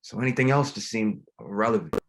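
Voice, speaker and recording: An actor playing a gentle, grieving pastor in a dramatic table read, speaking plainly and haltingly. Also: male voice, fairly low pitch, animated. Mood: grieving, subdued. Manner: reflective, plain-spoken, halting. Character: grieving, gentle, reflective male pastor, widowed father.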